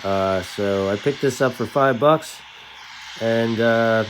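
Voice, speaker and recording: Middle-aged man, casual and halting, noisy recording. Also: mid-range voice. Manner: halting, conversational. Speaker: radio hobbyist presenter. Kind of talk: casual hands-on gear demonstration. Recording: clear background noise.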